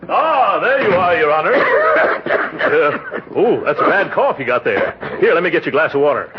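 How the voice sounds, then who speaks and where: A blustering, pompous middle-aged uncle in vintage radio comedy. He exclaims in a flustered way with a very animated pitch, on a muffled recording with some hiss. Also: moderate pace. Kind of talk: 1940s radio comedy acting.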